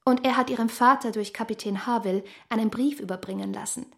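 A woman playing a chattering, snobbish young married gentlewoman reading out her letter in a period radio play, with emphatic delivery. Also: fairly high pitch, fast talker, creaky. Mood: gossipy, emphatic.

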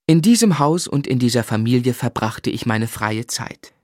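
An actor playing a grown man who narrates his own boyhood in a measured, reflective, literary way in a German radio drama. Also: mid-range voice, expressive, no room sound, studio quality, lightly acted. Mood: sombre, reflective.

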